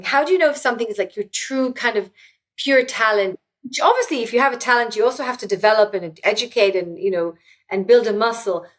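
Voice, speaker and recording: A fairly high-voiced woman whose first language is Hebrew speaks as an interview guest. She is earnest and reflective, often stopping to restart a sentence. She sounds middle-aged. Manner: earnest, many restarts and fillers. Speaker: guest, author.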